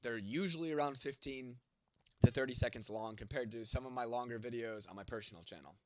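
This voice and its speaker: A man's voice: medium pitch, a little fast, darkish tone, no room sound. A young man hosting a casual livestream and reading out viewer comments. He is congested and losing his voice, with a cough, and talks in a laid-back way with casual swearing. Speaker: livestream host.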